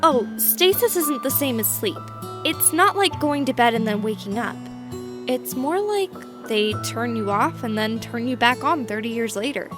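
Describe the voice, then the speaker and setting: A woman playing a friendly, slightly shy new high-school girl from space in a comic fan dub. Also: expressive, moderate pace, natural voice. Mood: friendly, candid. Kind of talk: comic fan dub acting and first-person narration. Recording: voice over prominent background music.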